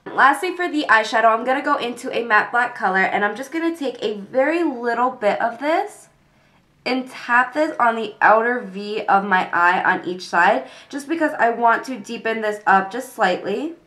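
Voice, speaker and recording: A young woman with a cold and a congested voice, calmly talking through a makeup tutorial step by step. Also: a little high, normal speed. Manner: calm step-by-step explaining with fillers. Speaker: beauty vlogger.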